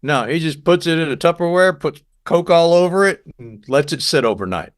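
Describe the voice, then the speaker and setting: A man's voice: on the high side, fairly quick, dry recording, clean studio sound. A man from Texas co-hosting a podcast, telling anecdotes in a casual, joking way like a former radio presenter. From Texas, United States. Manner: animated, joking, acts out quoted dialogue.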